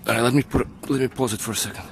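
A man's voice: mid-range voice, steady tone, racing, noisy background. A man working on a car engine outdoors, explaining each step in a casual repair walkthrough and muttering to himself as he goes. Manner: talks to himself while working, short muttered remarks. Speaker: home mechanic. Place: outdoors at a car's engine bay.

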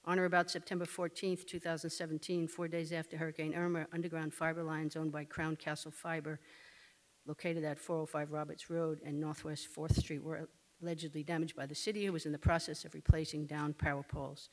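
A man delivers staff recommendations at a council meeting from a desk mic. His voice sits somewhat high for a man. He reads in a measured, formal way. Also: flat delivery, poor audio. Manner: measured, formal report reading. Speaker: city staff member presenting reports. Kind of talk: reading staff reports. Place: council chamber. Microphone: desk microphone.

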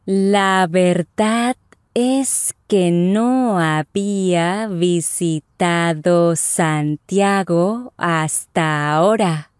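A woman voicing Isabella, a friendly young traveler in a scripted lesson dialogue, speaking with clear careful enunciation. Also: on the low side, extremely slow, no room sound, clean studio sound. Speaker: voice actor in language lesson dialogue. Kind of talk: scripted slow and normal-speed lesson dialogue.

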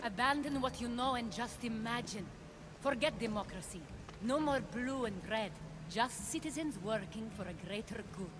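Woman acting composed, persuasive woman schemer, higher-pitched. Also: animated, noisy background, lightly acted. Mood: persuasive vision. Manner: measured, earnest, persuasive. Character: ambitious, visionary woman schemer of ancient Greece, composed and persuasive. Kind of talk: dramatic video game cutscene acting.

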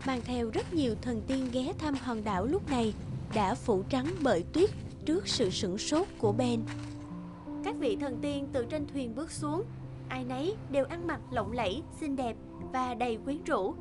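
A woman with a very high voice narrates a fairy tale from a script. Music plays clearly beneath her storytelling. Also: lively intonation, normal speed.

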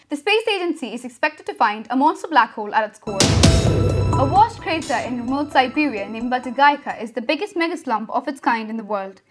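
A young woman reading a school news bulletin as the anchor, with a brisk, even headline delivery. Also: high voice. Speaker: student news reader.

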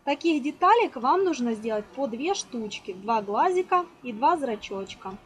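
A woman's voice: sits high, expressive, moderate pace. A woman informally explaining a hands-on craft step by step, as a tutorial host. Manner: fond of diminutives, explains step by step. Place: indoors at a table. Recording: noisy amateur home recording.

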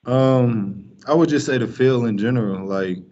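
A young male college basketball player answering postgame press questions, flat and halting, with many fillers and restarts. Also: medium pitch. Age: young adult. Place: press room in front of a sponsor backdrop. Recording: dull lo-fi stream with faint noise.

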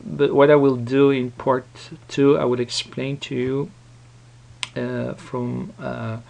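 A man presenting a casual, unscripted software tutorial in a hesitant, rambling manner. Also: medium pitch, little pitch movement, takes it slowly, gravelly, lo-fi sound. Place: voice-over recorded at a computer.

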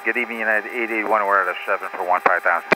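A man's voice: lowish voice, steady tone, rapid-fire. A man working as an airline pilot, making clipped, formulaic radio calls to air traffic control. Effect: aircraft radio. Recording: noisy aircraft radio transmission.

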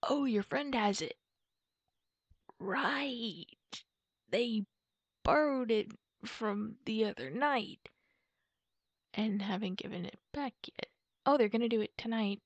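A woman's voice: fairly high pitch, slow pace, pauses often, no room sound, muffled recording. A woman playing a playful, sneaky, easily flustered girlfriend in a silly ASMR roleplay, with an over-innocent manner. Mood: startled, flustered. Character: sneaky, flustered girlfriend who loves candy.

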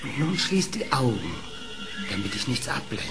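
An actor playing a cunning fox in a fairy-tale audio play, coaxing and theatrical in manner. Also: male voice, mid-range voice, very animated, moderate pace, over music. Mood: bossy. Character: sly, wheedling trickster fox. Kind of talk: German fairy-tale radio play acting.

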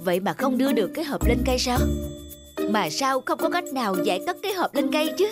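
High-voiced, self-important father turtle played by a woman. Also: animated, quick pace, loud music behind the voice. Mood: frustrated. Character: proud, studious father turtle hoarding wisdom. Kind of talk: animated fairy-tale dubbing.

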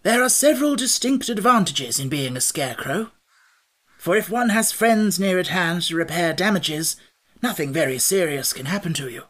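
A woman voicing a cheerful, pompous male scarecrow king in a storybook, with a bright and grandly reassuring manner. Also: fairly low pitch, lively intonation, normal speed, voice pushed up. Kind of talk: audiobook character voice.

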